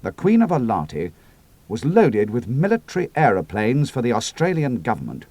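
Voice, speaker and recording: A man reading the part of a calm, decisive squadron leader in a spoken story, in a crisp, assured, slightly clipped way. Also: mid-range voice, normal speed, no room sound. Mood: grave.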